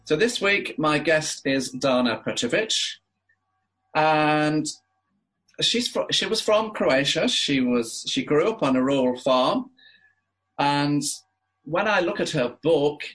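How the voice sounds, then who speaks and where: A man hosting a podcast interview, talking in a rambling, halting way with many fillers. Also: on the high side, expressive, a bit bright, soft background music, poor audio. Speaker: podcast host. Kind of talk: casual interview.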